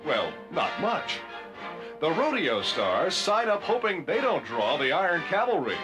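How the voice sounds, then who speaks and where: A man narrates a 1940s newsreel in an American accent, with a theatrical, jaunty announcer delivery. His voice sits fairly high and swings widely in pitch, over prominent music on an old soundtrack. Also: huge pitch swings, a little slow. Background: orchestral music.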